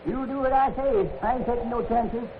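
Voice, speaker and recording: A cantankerous old buffalo hunter from a radio western, high-pitched and gravelly. He talks in a folksy, ungrammatical Western drawl, suspicious and vengeful. The sound is a tinny old broadcast recording. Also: a little fast. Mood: threatening, suspicious. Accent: rustic American West. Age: older. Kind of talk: 1950s radio western acting. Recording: tinny, faintly noisy 1950s radio recording.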